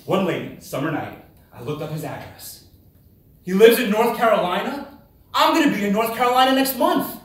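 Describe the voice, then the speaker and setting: A man giving a rehearsed, humorous storytelling speech in a theatrical manner, with dramatic pauses and exclamations. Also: high voice, very animated, a bit bright. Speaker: contest speaker. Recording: very echoey room, distant microphone.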